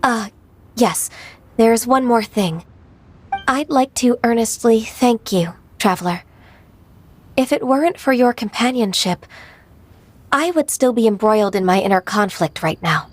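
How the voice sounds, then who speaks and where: A woman voicing a gentle, earnest young female secretary in a video game, soft-spoken and sincere. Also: on the high side, lively intonation, a little slow, long pauses. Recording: game music behind.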